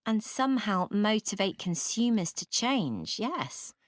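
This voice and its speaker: A female student chatting in a study discussion, slow n lively pitch, opinionated. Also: no room sound, clean studio sound. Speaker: voice actor in a listening exam dialogue. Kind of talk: scripted conversational discussion between students.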